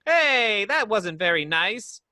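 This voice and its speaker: A woman's voice: medium pitch, slow, no echo, clean studio sound, full character voice. A woman voicing a frantic, pleading, naive male cartoon rabbit in an amateur table read of a film script. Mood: earnest chatter. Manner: pleading, excitable.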